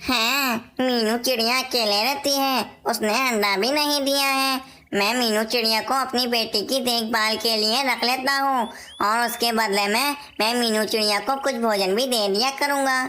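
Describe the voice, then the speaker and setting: A woman plays an anxious parrot king in a children's cartoon. The voice is high and very bright, with an earnest, declamatory delivery of a dutiful ruler and father. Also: steady tone, normal speed, a little hiss or hum. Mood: worried, deliberating. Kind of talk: Hindi cartoon voice acting.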